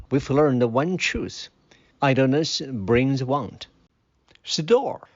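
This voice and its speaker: Children's fable narrator and vocabulary teacher: unhurried, animated intonation, slightly dull recording. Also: medium pitch. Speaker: storyteller and English vocabulary teacher. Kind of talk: scripted fable narration and word explanations. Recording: dull, muffled lo-fi sound.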